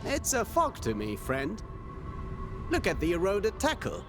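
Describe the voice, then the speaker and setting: A man's voice: sits high, huge pitch swings, measured pace, exaggerated voice. A man voicing an eccentric, wistful and stubbornly hopeful castaway fisherman in a video game, dreamy and rambling. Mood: wistful, whimsical. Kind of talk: video game cutscene acting. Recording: music behind the voice.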